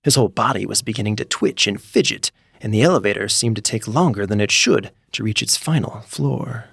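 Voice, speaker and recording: A man narrating a scripted comedic story in an expressive, theatrical way, with music playing behind him. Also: lowish voice, brisk, gravelly, slightly rough, dry recording. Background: background music.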